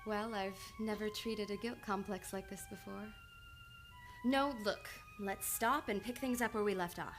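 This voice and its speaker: A woman's voice: mid-range voice, unhurried, music in the background, natural voice. A woman playing a composed, earnest psychoanalyst in an old-time radio play, probing and insistent with a patient she cares for. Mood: wry, composed. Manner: insistent, probing, clinical yet affectionate. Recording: live radio play with background noise.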